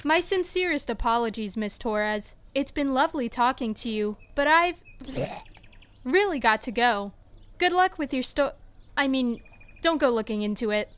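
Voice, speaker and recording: A woman's voice: high voice, animated, normal speed, smooth. A woman playing a weird, secretive lab worker in an audio fiction podcast, speaking in a polite, formal, quirky way. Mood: politely hurried. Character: odd, cheerful, secretive woman lab worker who relishes unpleasantness.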